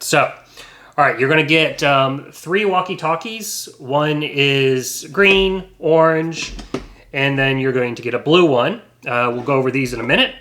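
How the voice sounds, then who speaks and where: A man giving a casual, unscripted product review, explaining features in a hesitant, halting way. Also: mid-range voice, a bit bright. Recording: echoey, roomy sound.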